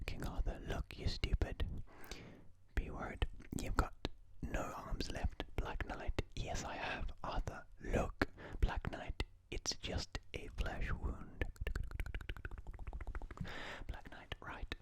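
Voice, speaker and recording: An ASMR host reading out quotes in a breathy, unvoiced whisper, with deliberate phrasing and pauses.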